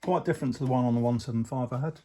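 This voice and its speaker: A man, casually explaining a motorcycle repair as he works, in a relaxed, rambling way with plenty of fillers. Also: mid-range voice, racing, over music. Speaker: motorcycle restorer, channel host. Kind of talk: casual workshop talk-through. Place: workshop or shed.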